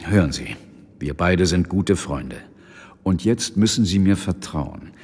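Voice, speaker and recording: Tiefer, rauer Kriminalinspektor. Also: male voice, low-pitched, a little slow, a little mellow, slightly rough, in a large hall. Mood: earnest, pleading. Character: determined male police inspector, insolent but loyal. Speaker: police inspector. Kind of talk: German radio drama acting.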